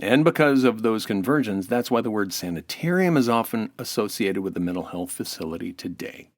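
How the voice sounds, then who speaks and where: A man's voice: lowish voice, animated, fairly quick, dry recording, studio quality. An American man as an interview guest, giving an affable, informative explanation in a semi-scripted style.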